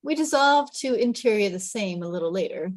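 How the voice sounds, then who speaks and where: A woman's voice: medium pitch, expressive, brisk, no room sound. Woman narrating, close dry sound. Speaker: stage-direction reader. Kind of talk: scripted narration.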